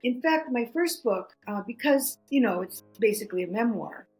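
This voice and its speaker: A middle-aged woman whose first language is Greek, a podcast guest telling stories in a lively way, full of restarts and "you know". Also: on the high side, normal speed, faint music behind, poor audio. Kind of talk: conversational storytelling.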